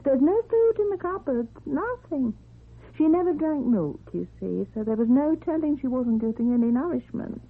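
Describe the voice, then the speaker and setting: A woman's voice: high-pitched, moderate pace. An actress playing a fussing, talkative, matter-of-fact landlady in a radio drama. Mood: flustered. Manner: talkative, animated. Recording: tinny narrow-band old radio recording.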